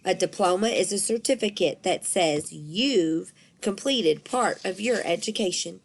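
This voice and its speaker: A woman voicing a patient but firm elementary school teacher in a storybook, measured and instructive. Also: lowish voice, vocal fry. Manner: measured, instructive, addressing a class.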